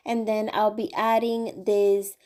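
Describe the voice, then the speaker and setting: A woman's voice: medium pitch, level tone, slow pace, mellow. A woman hosting a craft channel, cheerfully talking through each step in a voice-over while getting over a cold. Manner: cheerful, chatty step-by-step explaining. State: recovering from a cold.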